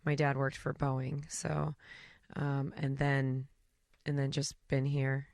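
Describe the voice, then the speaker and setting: A woman from Seattle, a singer, chats through an interview with easy laughter. Her voice is smooth and unhurried. The sound is close and dry. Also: very deep voice, completely flat delivery, darkish tone, dry recording. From Seattle, USA. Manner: laughing often, chatty. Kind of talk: casual interview.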